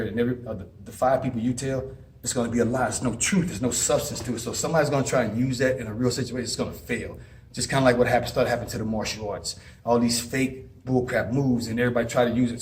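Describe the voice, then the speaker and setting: A man's voice: mid-range voice, a little mellow, a little hiss or hum. Earnest man rambling through advice. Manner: earnest, rambling, frequent restarts. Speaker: advice vlogger and former inmate. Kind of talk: casual advice monologue with personal stories.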